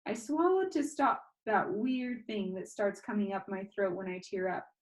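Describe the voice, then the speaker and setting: Woman, children's novel narration, mid pitch, expressive read-aloud. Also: moderate pace. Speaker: storybook reader.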